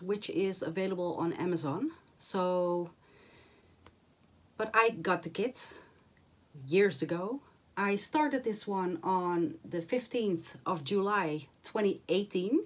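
Woman, 40s, hoarse and nasal, chatty with fillers, slow easy delivery, average pitch, small home room. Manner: chatty, many fillers and restarts. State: hoarse and nasal after flu. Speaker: cross-stitch vlogger. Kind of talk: casual chat to camera.

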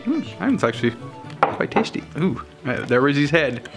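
A woman's voice: deep voice, big pitch swings, normal speed, over music. A middle-aged woman leading a family game at home, laughing and calling the rounds. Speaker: family vlogger mom. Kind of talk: casual family game chat. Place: home dining room.

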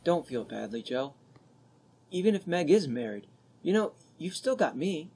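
A man playing an ardent, boyish young gentleman, earnest and pleading, in an old-time radio drama. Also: on the high side, sing-song, moderate pace, slightly rough, full character voice.

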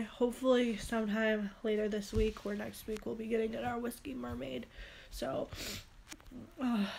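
A woman's voice: on the high side, gravelly, a little mellow, slight background noise. A young woman with a head cold and a stuffy nose, chatting casually and rambling through a product haul in a small room on a close phone camera. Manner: chatty, rambling, with many fillers. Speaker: beauty vlogger. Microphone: phone camera held close.

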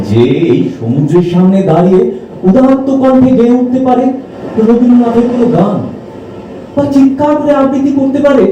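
A man's voice: extremely high pitch, dark tone, highs cut off. A man playing an affectionate, teasing companion in a romantic audio drama, with coaxing theatrical delivery. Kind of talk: stage audio drama acting, romantic banter. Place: multipurpose hall, live performance. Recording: very echoey hall, distant, noisy background.

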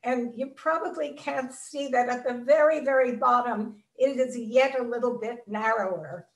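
Older woman, survivor testimony over a video call, measured and reflective, fairly high voice, roomy sound picked up from a distance. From Czechoslovakia. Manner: measured, reflective storytelling with pauses. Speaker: Holocaust survivor speaker. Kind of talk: personal testimony in an interview. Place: home room.

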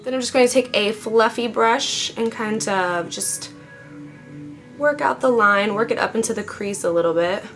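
Chatty raspy-voiced young woman vlogger. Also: fairly high pitch, normal speed, fairly bright tone. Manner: chatty, playful, talks while applying makeup. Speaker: beauty vlogger. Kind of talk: casual makeup tutorial chat. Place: home makeup room with vanity. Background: faint background music.

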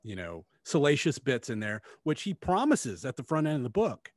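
A man's voice: medium pitch, big pitch swings, normal speed, no room sound. Blunt, sweary man, dry close mic. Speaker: podcast co-host. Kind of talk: casual book review chat. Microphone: close studio mic on stand, headphones.

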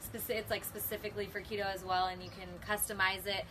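A woman's voice: medium pitch, little pitch movement, fast talker. Young adult woman, chatty with fillers, outdoor deck, noise behind. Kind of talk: casual chat.

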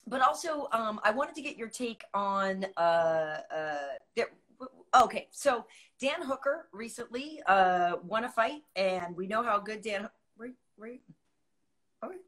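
A woman in her forties from Boston hosting a casual show over a video call, friendly and brisk as she steers the conversation. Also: on the high side, lively intonation, fairly bright tone. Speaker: host. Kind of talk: casual chat. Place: home room.

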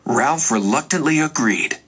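A man's voice: medium pitch, animated, measured pace, very noisy. A man reading scripted narration for a children's read-along storybook. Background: music.

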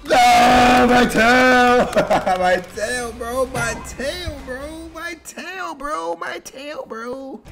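A young man with a raspy, strained, partly lost voice giving excitable, slangy gameplay commentary, swinging from muttering to yelling. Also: very high voice, very slow, hardly any pauses. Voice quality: hoarse, raspy. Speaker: gaming video host. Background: music behind the voice.

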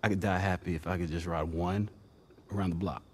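An actor with an American accent playing an excitable, friendly, protective young man in a video game drama. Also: low voice, brisk, a little hiss or hum, lightly acted. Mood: excited, joking. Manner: animated, informal. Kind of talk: naturalistic video game cutscene acting.

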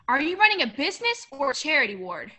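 Casting: young woman as contemptuous moneyed villainess, bright high voice, imperious radio play delivery. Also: very animated, fast talker, heavily acted. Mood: cold, scornful. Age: young adult. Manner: imperious, scornful. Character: mean, rich, contemptuous businesswoman villain.

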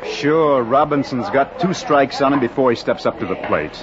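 An actor playing an eager, persuasive baseball scout in a 1940s radio drama. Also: male voice, mid-range voice, lively intonation, moderate pace, lightly acted. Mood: insistent. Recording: muffled old radio, outdoor crowd bed.

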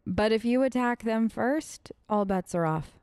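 Female let's play host, fairly high voice, conversational improvised role-play, close and dry. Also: measured pace, darkish tone, smooth, dry recording. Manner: chatty, conversational.

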